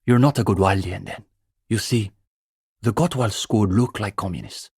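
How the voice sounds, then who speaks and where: An earnest young student intellectual for a video game. His voice sits a little low with some huskiness, recorded close and dry. He lectures in a bookish, opinionated way, smugly sure of his doctrine. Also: moderate pace, gravelly, a little mellow, breathy edge, dry recording. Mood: dryly disdainful. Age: young adult. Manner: intellectual, opinionated, dryly pedantic. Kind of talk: video game dialogue voice acting.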